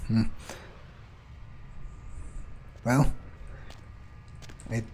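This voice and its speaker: A man playing a haughty, irritable fledgling vampire in an in-character video game playthrough, grumbling in a halting, self-important way. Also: dark tone, a little hiss or hum. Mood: musing. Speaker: gamer roleplaying his character. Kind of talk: in-character let's play monologue.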